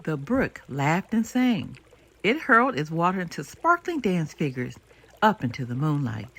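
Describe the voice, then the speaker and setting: Expressive older woman reading a storybook. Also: sits low, normal speed, husky. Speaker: storyteller reading a children's book aloud. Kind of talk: expressive scripted storybook narration.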